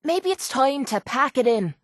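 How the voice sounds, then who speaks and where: A woman's voice: high voice, very animated, measured pace, no room sound, studio quality, heavily acted. An actress playing a tough, cynical, streetwise young woman with an Irish accent, as a companion in a video game. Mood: weary. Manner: blunt, sardonic, streetwise. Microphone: close, dry studio microphone.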